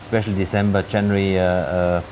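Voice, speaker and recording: A middle-aged man with an Austrian accent speaks in a very low, even voice. He talks quickly, stopping often for fillers and restarts. A steady hiss sits under the recording. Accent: Austrian German. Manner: hesitant, many fillers and restarts. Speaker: hotel general manager. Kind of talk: interview answers.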